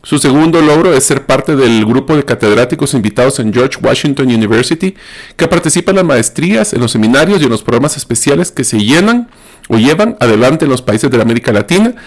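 A man's voice: mid-range voice, expressive, fairly quick. A man hosting a podcast, reading a guest's bio and then opening the interview. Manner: reads guest bio, then chats warmly. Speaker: podcast host.

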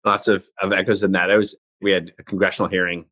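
Relaxed, low-voiced man in his fifties. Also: fast. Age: 50s. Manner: relaxed, musing, trailing phrases. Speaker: podcast host. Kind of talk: conversational podcast interview.

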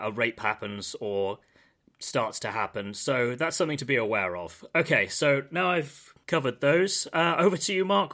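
A man hosts a podcast with a bright, forward voice. He keeps things upbeat and guides the conversation from one point to the next. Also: medium pitch. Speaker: podcast host. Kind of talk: hosting a podcast.

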